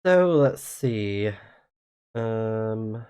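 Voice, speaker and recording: A man with a lowish voice hosts a casual game livestream. He reads on-screen text aloud, sometimes tripping over a word and correcting it, then drops back into relaxed play-along commentary. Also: very slow, smooth. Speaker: livestreaming gamer and channel host.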